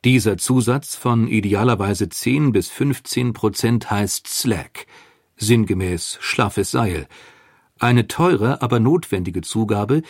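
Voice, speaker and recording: A man reading scripted documentary narration in a measured, explanatory manner. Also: on the low side, no room sound, clean studio sound.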